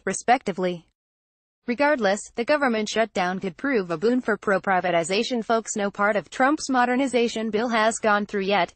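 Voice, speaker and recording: A woman's voice: mid-range voice, no room sound. A woman reading scripted news narration in a formal, word-for-word manner.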